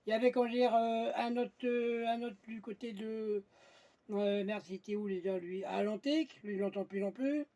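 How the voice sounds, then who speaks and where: A man's voice: high-pitched, steady tone, moderate pace, dark tone. A man chatting casually on amateur radio, blunt and colloquial, often searching for his words. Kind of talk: casual radio contact chat.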